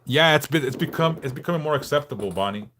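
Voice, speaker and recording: A man in his forties from Southern California, hosting a casual livestream and answering viewers in a frank, laid-back way with halting restarts. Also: a little high, expressive, a bit bright, a little hiss or hum. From Los Angeles, California. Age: 40s. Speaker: livestream host.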